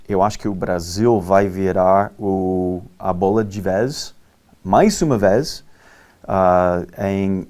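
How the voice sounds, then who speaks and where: A middle-aged Texan man with a lowish voice, speaking as a non-native at a slow, unhurried pace. He speaks as a panel guest, halting often, with frequent fillers and restarts. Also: darkish tone. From Texas, USA. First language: English. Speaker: panel guest, land investment manager. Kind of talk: panel discussion.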